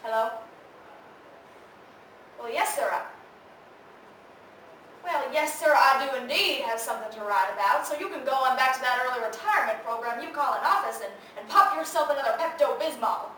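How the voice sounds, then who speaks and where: A teenage girl playing a sharp-witted, hard-drinking Texan woman newspaper columnist in a theatrical competition monologue, wry and swinging from hushed to loud. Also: fairly high pitch, moderate pace, lightly acted. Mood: flustered, feisty. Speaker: competitive speech performer. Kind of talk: dramatic monologue recited for a speech competition. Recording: echoey room, distant mic, faint noise.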